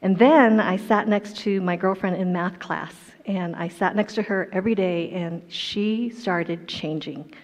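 A woman's voice: lowish voice, measured pace, hardly any pauses. A middle-aged woman from Colorado giving a personal testimony talk on stage, with a storytelling manner and self-deprecating humor. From Colorado, USA. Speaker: guest speaker. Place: large indoor hall stage. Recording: dull, echoey hall sound.

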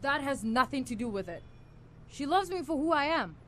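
A woman plays an earnest, lovestruck student who stands up for himself in a live radio drama. The voice is high and declamatory. There is faint noise in the student studio. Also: expressive, normal speed, fairly bright tone. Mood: defiant. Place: small college radio studio.

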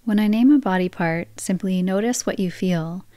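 A woman guiding a yoga nidra relaxation practice, speaking in slow, soft, soothing phrases. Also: medium pitch, no room sound, clean studio sound. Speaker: meditation guide.

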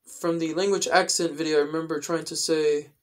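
A young adult man explaining things in a casual vlog style, with careful, halting phrasing, recorded indoors at home. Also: on the high side, level tone. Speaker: video creator. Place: indoors, small room at home.

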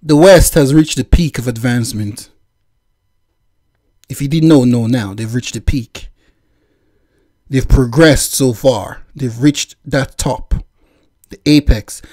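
A man's voice: medium pitch, slow pace, pauses often, darkish tone. A man hosting a reaction video, mocking what he watches with frequent laughs and exclamations into a desk microphone. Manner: laughs often, exclaims, rants.

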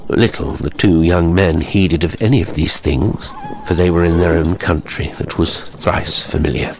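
A man narrating a scripted horror story in a measured, theatrical way. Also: deep voice, steady tone, a little mellow, slight background noise.

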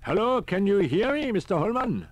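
A man voicing an excitable, precise male professor in an audiobook, speaking formally and deliberately. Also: sits high, pitched above the performer's own voice. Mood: businesslike.

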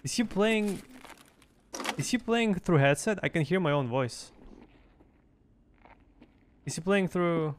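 A man's voice: high voice, expressive, slow pace, long pauses, slight background noise. A young man from Russia chatting casually while streaming an online game, repeating short phrases, recorded close on a mic at a desk. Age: young adult. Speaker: streamer playing an online shooter. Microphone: boom mic close up, with headset.

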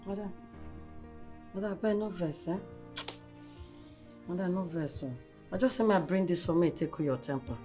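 A woman's voice: fairly low pitch, normal speed, pauses often, warm tone, soft background music, close to an everyday voice. A woman acting a soothing, pitying comforter in a film drama, with a Nigerian Pidgin accent.

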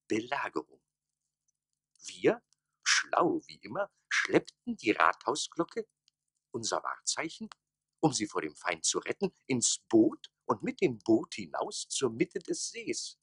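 An actor playing a pompous, forlorn mayor of a ruined town of fools in a radio play, with a hoarse, breathy rasp and theatrical, declamatory delivery. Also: male voice, medium pitch, a bit bright, no room sound, heavily acted. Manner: theatrical, declamatory, slow dramatic pauses. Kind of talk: German radio play monologue.

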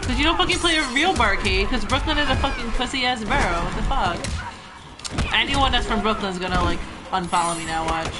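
A woman's voice: a little high, animated, fairly quick. Young new york girl trash talking on stream, cussing a lot, game music blasting behind. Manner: brash, profane trash talk. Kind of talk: casual live gameplay chat. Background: loud game music.

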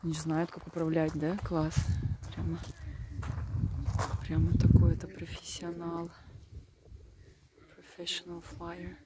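A woman's voice: on the low side, monotone, takes it slowly, long pauses. A woman with a Russian accent, chatting casually and exclaiming with enthusiasm as a travel vlogger on a handheld phone. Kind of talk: casual chat and vlog narration. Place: outdoors by the sea and in a car. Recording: noisy outdoor and car phone recording.

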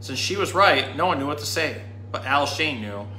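A man in his thirties reading a children's story aloud in an animated, dramatic storytime manner. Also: a little high, normal speed. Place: indoor room. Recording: roomy indoor sound with faint noise.

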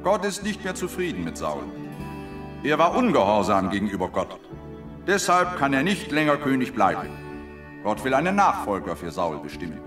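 An actor playing a solemn, authoritative messenger of God in a Bible audio drama, firm and declarative. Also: male voice, medium pitch, wildly swooping pitch, moderate pace, over music.

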